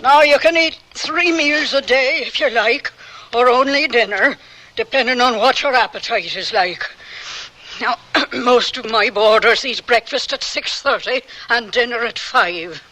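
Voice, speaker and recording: High, bright, bossy Irish landlady. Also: low-quality recording. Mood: brisk, businesslike. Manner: brisk, bossy, garrulous. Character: tall, bossy, kindly, fast-talking Irish boarding house landlady. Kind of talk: radio drama acting.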